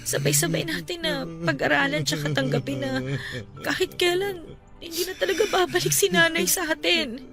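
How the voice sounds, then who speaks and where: An actress playing a gentle, respectful young adult daughter consoling her father in an emotional radio drama, earnest and pleading. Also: extremely high pitch, expressive, normal speed. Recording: music under the voice. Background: music.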